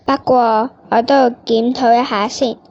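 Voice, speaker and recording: Kindly, commanding queen for a stage play, played by a woman: highish, slow, some gravel. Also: steady tone, darkish tone, muffled recording. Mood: gentle reproach. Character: benevolent forgiving queen, regal and commanding.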